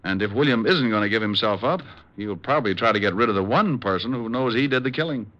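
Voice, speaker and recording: A tough, wisecracking American private eye in a 1950s radio drama. The voice is low and forceful, with cool, clipped delivery. It sounds like an old broadcast recording with music. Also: male voice, expressive, quick pace, lo-fi sound. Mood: cool, businesslike. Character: suave, wry, tough male private detective.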